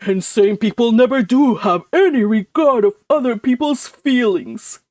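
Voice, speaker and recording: A woman's voice: a little high, expressive, unhurried, warm tone, no room sound. A woman voicing a stern, pompous elderly uncle who speaks bluntly and brusquely.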